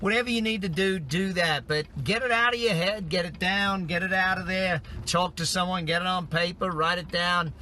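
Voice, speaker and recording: A man's voice: sits high, normal speed, few pauses, bright, forceful tone. A middle-aged man from Australia, a business coach giving upbeat motivational tips in numbered points while driving. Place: in a car on the road. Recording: car and road noise behind.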